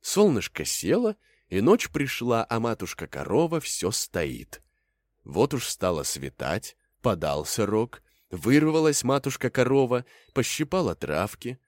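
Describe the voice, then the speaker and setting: A man's voice: medium pitch, wildly swooping pitch, unhurried, no room sound. A man narrating a fairy tale as a storyteller, in a folksy, theatrical way.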